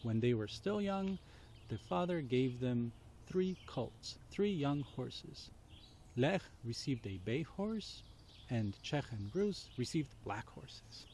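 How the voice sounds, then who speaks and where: Slow-paced man telling children's stories. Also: medium pitch, very animated, a little mellow, a little hiss or hum. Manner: deliberate, explains and puts questions to listeners. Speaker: children's storyteller. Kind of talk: storytelling for children.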